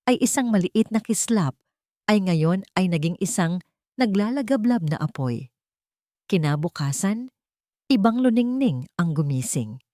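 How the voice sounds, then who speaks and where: A woman with a Filipino accent narrating a scripted dramatic story in measured phrases with pauses. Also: sits low, darkish tone, dry recording, studio quality.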